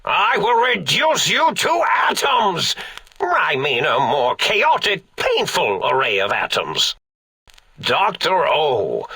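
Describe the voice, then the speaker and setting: A nutty old scientist from a video game, raspy n high, swoops all over, forgetful and pompous. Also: male voice, pushed and bright, no room sound, full character voice. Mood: bombastic, threatening, then musing, rambling. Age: older. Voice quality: creaky, raspy. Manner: rambling, pompous, absent-minded, melodramatic. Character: eccentric, forgetful elderly mad scientist, theatrical and rambling. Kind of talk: theatrical video game character acting.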